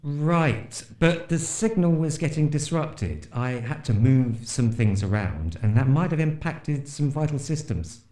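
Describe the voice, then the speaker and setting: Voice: medium pitch, animated, measured pace, hardly any pauses, mellow, roomy sound, natural voice. An actor playing a nervous, apologetic radio station engineer in an audio drama, hesitant and sheepish.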